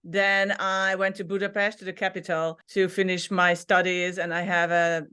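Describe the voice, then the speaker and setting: A woman's voice: lowish voice, flat delivery, normal speed, pushed and bright, smooth. A woman from Hungary, a native Hungarian speaker, sharing her story as a podcast guest over a video call, reflective and laughing often. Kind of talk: casual interview. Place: her childhood room.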